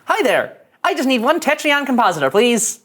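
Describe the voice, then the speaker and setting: A woman's voice: high-pitched, sing-song, moderate pace, fairly bright tone, heavily acted. A woman at a table read playing an eager, guileless young starship engineer with a chirpy, naive manner.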